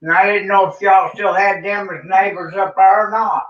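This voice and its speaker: High-voiced man, distant and echoey. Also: steady tone, moderate pace. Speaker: livestream panel member. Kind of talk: casual, supportive chat. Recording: muffled, echoey room, distant from mic.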